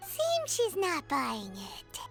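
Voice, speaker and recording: A woman's voice: sits high, huge pitch swings, slow, gravelly, music in the background, exaggerated voice. A woman voicing a tiny, chirpy floating girl companion in a video game who blurts things out.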